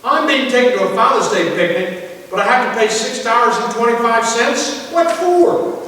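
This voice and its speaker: A man's voice: extremely high pitch, animated, normal speed, sharp tone, heavily acted. A man playing an exasperated, sarcastic middle-aged father in a live staging of a 1950s radio comedy. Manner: grumbling, dry sarcasm, hesitant stammers. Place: church hall stage, recorded from afar. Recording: distant echoey hall, hissy background noise.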